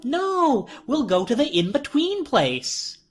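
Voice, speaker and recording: A woman voicing a smug, childish doll-like monster girl in a horror story, drawn-out and wheedling, with music behind. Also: sits high, big pitch swings, pitched above the performer's own voice, heavily acted. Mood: bright, coaxing. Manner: drawn-out, pouty, wheedling sing-song.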